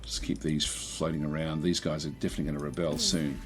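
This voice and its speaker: A man with a croaky voice casually talking through a strategy game, thinking aloud with many fillers and restarts. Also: a bass voice, level tone, quick pace. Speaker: gameplay commentator.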